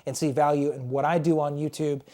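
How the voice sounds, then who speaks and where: A man in his thirties chatting casually and enthusiastically in a collector's vlog, his voice worn and starting to go hoarse. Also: fairly high pitch, level tone, fairly quick, dark tone. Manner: chatty, enthusiastic, repeats words. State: losing his voice. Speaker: retro game collector and YouTuber. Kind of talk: casual show-and-tell vlog.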